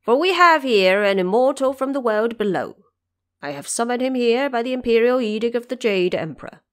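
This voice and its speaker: A woman's voice: on the high side, expressive, normal speed, natural voice. A woman voicing a courteous old male immortal envoy in an audio drama, formal and persuasive. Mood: proclaiming.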